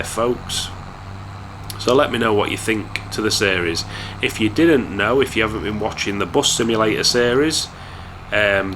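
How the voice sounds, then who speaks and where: A young man from England with a hoarse voice from a cold, chatting casually to viewers while commentating a game. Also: fairly low pitch, background noise. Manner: chatty, relaxed, talks straight to viewers. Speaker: gaming YouTuber.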